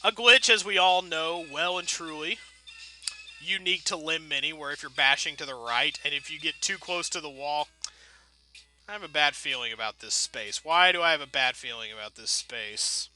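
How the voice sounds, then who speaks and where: A man's voice: on the high side, normal speed, bright, forceful tone, soft background music. A man giving casual, rambling gameplay commentary, thinking aloud in a wry, self-deprecating way with playfully folksy turns of phrase. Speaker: video game let's player.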